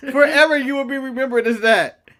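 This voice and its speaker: Male co-host, chatty and comedic, bright, sharp-edged voice. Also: very high voice. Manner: joking, animated, laughs often. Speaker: podcast co-host. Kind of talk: casual wrestling review chat.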